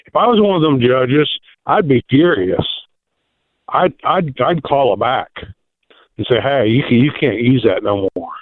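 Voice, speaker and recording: A male caller stumbles a little but grows indignant as he puts his question. His mid-pitched voice comes through a dry, tinny phone line. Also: lively intonation, measured pace, no room sound. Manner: hesitant, indignant. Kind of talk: call-in radio question.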